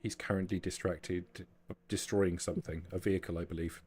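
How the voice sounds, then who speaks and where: A man plays a formal vampire woman in a tabletop roleplaying game, very low and husky. She speaks in measured, careful phrases, guarded and quietly scheming. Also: little pitch movement, soft background music. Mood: cool, conspiratorial. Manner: measured, formal, deliberate diction.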